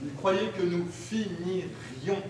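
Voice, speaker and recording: A man on stage reading grammar examples and old texts aloud in a deliberate, declamatory way, in a live performance. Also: high voice, lively intonation, a little mellow, a little hiss or hum. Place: large hall, live performance. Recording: echoey hall from far off.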